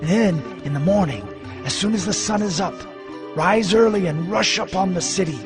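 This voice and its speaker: An actor playing an urgent, scheming messenger in a dramatized scripture audio drama, giving counsel in a declamatory way. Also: male voice, high-pitched, moderate pace, music in the background, natural voice. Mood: urgent warning.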